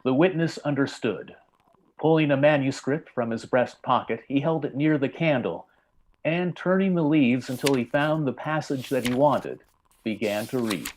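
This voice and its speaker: A man narrating a story in old-time radio style, with formal, measured literary delivery. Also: mid-range voice, a little mellow, a little hiss or hum, poor audio.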